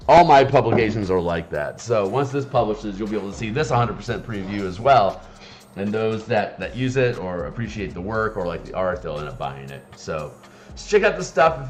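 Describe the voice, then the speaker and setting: A man with a raspy morning voice, chatting casually on a live stream and rambling with many fillers and restarts. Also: mid-range voice. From Seattle area. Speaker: streamer and game designer.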